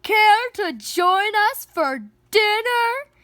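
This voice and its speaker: A bellowing father of a noisy picture-book family, acted out by a woman. The voice shoots up very high and swoops widely. Every exclamation is stretched out in exasperation. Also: lively intonation, dry recording, clean studio sound, exaggerated voice. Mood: loud, inviting. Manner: shouting, drawn-out exclamations. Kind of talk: children's picture book read-aloud.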